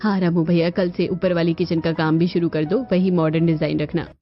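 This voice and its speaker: A woman playing a firm, cautious mother in an animated family drama, insistently explaining her household plans. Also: fairly low pitch, brisk, lo-fi sound, slight background noise, close to an everyday voice. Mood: businesslike.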